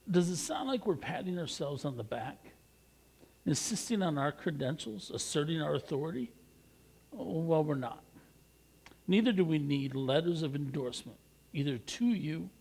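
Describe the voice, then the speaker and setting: Raspy, higher-pitched American man reading scripture. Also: darkish tone. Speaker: pastor reading scripture aloud.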